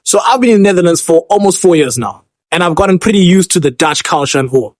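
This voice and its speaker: A man's voice: high-pitched, expressive, fast, no echo, studio quality. A young man from Zimbabwe hosting a street interview vlog, upbeat and chatty. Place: outdoors on a street.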